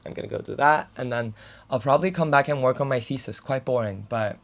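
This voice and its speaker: A young man in his twenties, a student, chatting casually to camera in a rambling vlog, full of fillers, his voice raspy right now. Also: mid-range voice, quick pace. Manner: filler-heavy, talks with his hands. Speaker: student vlogger.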